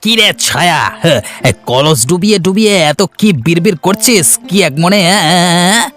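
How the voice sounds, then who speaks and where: A man's voice: high-pitched, a little fast, a bit bright, faint music behind. A man voicing a friendly, generous village fisherman in a Bengali moral-tale cartoon, with a hearty, familiar, folksy delivery. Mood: teasing.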